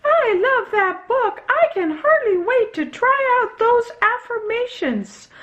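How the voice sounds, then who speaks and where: Very high childlike puppet voice, excited, gushing, unhurried, kids' show, dull sound. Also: female voice, full character voice. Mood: eager, delighted. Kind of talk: children's show character talk.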